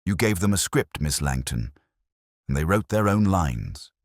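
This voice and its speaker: A shrewd, soft-spoken detective inspector from a mystery audiobook, played by a male narrator. The voice is very deep and husky, murmuring each knowing line at a measured, probing pace. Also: very animated, dry recording, studio quality, heavily acted. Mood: gently accusing.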